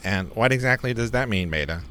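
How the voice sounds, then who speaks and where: A man's voice: on the low side, expressive, fairly quick, sharp tone, soft background music. A man playing a practical, sardonic chief engineer in a parody radio play. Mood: dry, sardonic.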